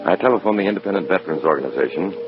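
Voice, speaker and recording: An actor playing a weary, conscience-torn American undercover agent, narrating in a brooding, clipped hard-boiled style of old radio drama. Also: male voice, sits low, lively intonation, racing. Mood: narrating grimly. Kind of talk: mid-century radio drama acting, first-person narration. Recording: muffled old radio recording with music.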